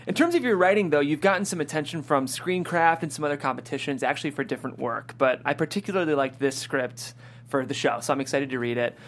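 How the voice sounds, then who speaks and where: A man with a somewhat high-set voice hosts a table read. He moves between presenting the show and narrating stage directions from a script. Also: quick pace, a bit bright, slight background noise.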